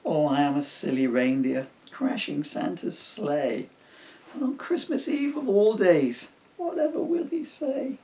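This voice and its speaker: A man's voice: on the high side, sing-song, measured pace, mellow, distant mic, pitched above the performer's own voice. A man voicing an anxious, self-blaming reindeer in a children's storybook, with fretful, exclaiming delivery. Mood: despairing.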